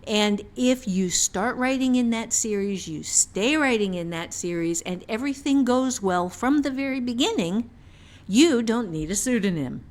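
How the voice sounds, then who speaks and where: A woman's voice: fairly high pitch, lively intonation, normal speed. Emphatic woman explaining things casually. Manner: emphatic, repeats phrases for stress. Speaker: author and writing teacher. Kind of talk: casual explanatory podcast talk.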